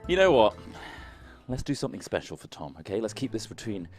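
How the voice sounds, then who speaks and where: A man's voice: lowish voice, sing-song, fairly quick, faint music behind. A man doing a comic sponsor read in a mock-salesy voice, dropping into stage-whisper asides. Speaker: player reading sponsor ads.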